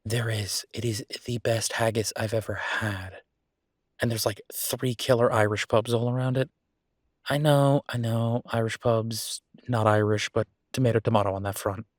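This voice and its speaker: A man playing an easygoing, passionate restaurateur boyfriend in a conversational roleplay audio drama, husky and chatty with emphatic repetition. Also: on the low side, steady tone, normal speed, dry recording, clean studio sound, natural voice. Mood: enthusiastic, opinionated.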